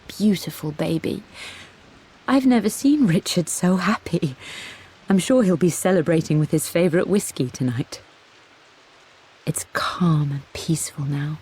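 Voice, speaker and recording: An actress playing a loving, cultured English mother in a video game, speaking softly and intimately, as if reading from her diary. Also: on the low side, exaggerated voice. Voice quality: soft, breathy. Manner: gentle, measured, intimate. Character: loving, cultured mother, an artist, recalling family life.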